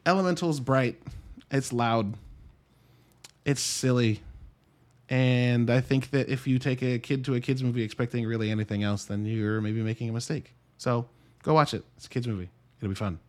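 A man's voice: medium pitch, normal speed. A man talks through films as a podcast host, in an everyday mid-range voice. His delivery is casual and conversational, with wry, dry asides and thoughts that double back on themselves. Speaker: podcast host and movie reviewer. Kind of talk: casual solo movie review commentary.

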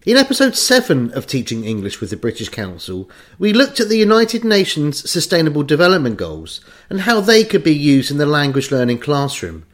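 Lively-voiced male podcast host. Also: on the high side. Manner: clear, measured read-out delivery. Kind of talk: scripted podcast narration.

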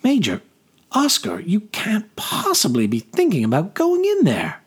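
A man's voice: a little high, sing-song, moderate pace, a little mellow, slightly rough, voice pushed up. A man voicing a formal, concerned noblewoman in an audiobook. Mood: alarmed concern. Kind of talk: audiobook character dialogue.

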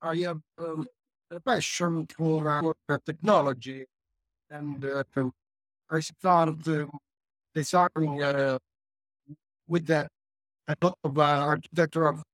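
Italian-accented male architect, hesitant non-native delivery with frequent pauses, fairly high voice. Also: steady tone, fairly bright tone, no echo, poor audio. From Italy. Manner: halting, many filler pauses. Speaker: architect and glamping designer. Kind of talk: panel discussion.

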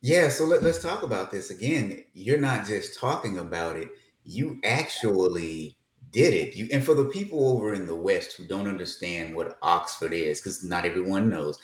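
A man's voice: low voice, expressive, normal speed. A man hosting a casual interview, asking leading questions with enthusiasm. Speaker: interview host. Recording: echoey room.

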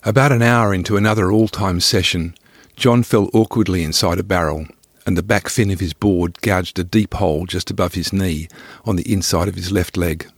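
Deep-voiced man narrating his memoir. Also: fairly even intonation, normal speed, no room sound, clean studio sound. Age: middle-aged. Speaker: author reading own travel memoir. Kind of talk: scripted first-person narration.

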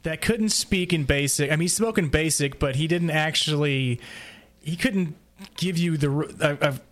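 A man hosting a casual roundtable discussion, speaking haltingly as he searches for words. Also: on the high side, fairly bright tone. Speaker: podcast host.

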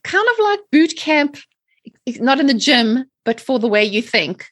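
A woman in her forties with a South African accent, chatting lively as an interview guest. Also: high voice, a little slow. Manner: lively, chatty, laughs often. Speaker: guest, mindset trainer. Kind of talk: casual interview chat.

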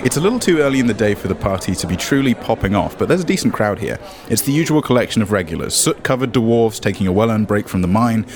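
A man who is game master and host, narrating a tabletop game in a playful, casual, slightly theatrical way. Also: lowish voice. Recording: music under the voice.

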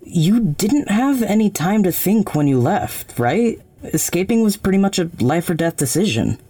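An actress playing a frank, caring young friend, casual, tentative and supportive, in a naturalistic audio drama. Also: female voice, on the low side, big pitch swings, moderate pace, warm tone, slightly rough, dry recording. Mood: careful, concerned.

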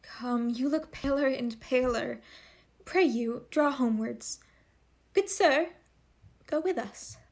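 A woman playing an anxious, gentle young noblewoman in a Shakespearean radio play. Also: sits high, slow pace, pauses often, heavily acted. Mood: anxious coaxing.

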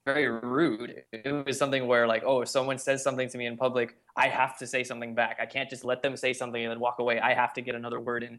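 A man's voice: medium pitch, flat delivery, fast, hardly any pauses, fairly bright tone. A young adult man, a podcast guest, telling a personal story in an earnest, rambling way full of "like". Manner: earnest storytelling, frequent "like" fillers. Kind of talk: casual conversation.

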